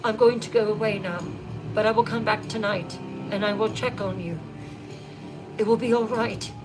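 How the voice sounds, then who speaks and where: A woman's voice: mid-range voice, fairly even intonation, moderate pace, close to an everyday voice, rough recording. Storybook queen mother, guilty and protective, pleads urgently, read by a woman; music underneath. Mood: reassuring. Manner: earnest, urgent reassurance.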